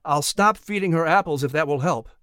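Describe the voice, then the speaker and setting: A man voicing a humble, polite young man with an earnest, slightly halting delivery in an audiobook story. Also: on the high side, pushed and bright, smooth, dry recording, studio quality. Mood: apologetic. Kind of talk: audiobook character dialogue.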